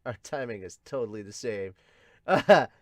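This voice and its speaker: A man hosting a casual reaction show, reading along and commenting as an excitable, sweary fan. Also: on the low side, normal speed, no room sound. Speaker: podcast host reacting to a manga. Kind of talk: casual reaction and amateur manga dub read-along.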